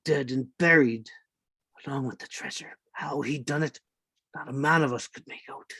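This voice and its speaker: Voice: medium pitch, moderate pace, a bit bright, breathy edge, dry recording. An actor playing a marooned, half-mad old pirate castaway in a radio play, rambling and repeating words in rustic sailor speech. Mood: hushed, secretive. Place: indoors, remote online reading. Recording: dry video-call recording.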